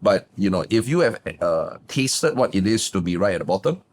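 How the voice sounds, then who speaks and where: A man, a guest business coach telling his backstory in a lively, emphatic way. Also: moderate pace. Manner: animated, emphatic, swings pitch up for punchlines. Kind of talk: casual interview storytelling.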